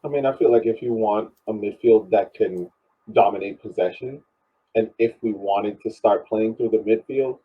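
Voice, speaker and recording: A man's voice: on the low side, normal speed, breathy edge. A man chatting casually about sport, piecing his thoughts together with repeats, on slightly dull audio. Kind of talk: casual sports analysis chat.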